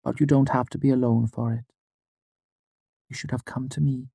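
A man voicing a small, shy young woman who speaks softly and tenderly to comfort someone. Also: medium pitch, little pitch movement, normal speed, creaky, slightly rough, no echo, studio quality, voice pushed up. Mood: soothing. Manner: soft, quiet, tender.

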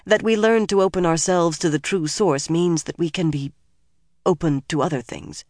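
Woman voicing a senior sorceress, solemn, motherly, secretive, hushed and firm, fantasy audiobook, dry recording. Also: lowish voice, normal speed, no room sound, lightly acted. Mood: hushed, urgent.

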